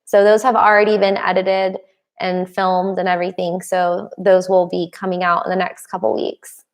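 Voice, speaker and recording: A woman's voice: fairly low pitch, monotone, normal speed. A young adult woman hosting a casual live stream Q&A, reading out viewer comments and answering them with frequent laughs. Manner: laughs often between remarks.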